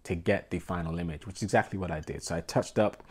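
A man in his thirties with a London accent, a portrait photographer vlogging to camera in a small home room, congested with a cold, talking in a relaxed, rambling, self-deprecating way. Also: deep voice, fast talker, husky. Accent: London English. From England. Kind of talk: casual talking-to-camera vlog.

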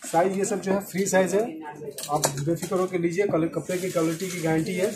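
A man's voice: high-pitched, level tone, fairly quick, crowd noise. A man in his thirties presenting clothing stock on camera in salesman's patter, mixing in English words. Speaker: garment wholesaler presenting stock. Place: small indoor clothing shop. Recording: clearly noisy shop audio with clicks.

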